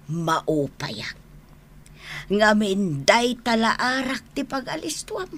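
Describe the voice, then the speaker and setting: A woman's voice: a little high, a bit bright, full character voice. A woman acting a worried mother in an Ilocano radio drama, plaintive and admonishing, with an Ilocano accent. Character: worried, lamenting mother pleading with her wayward grown son.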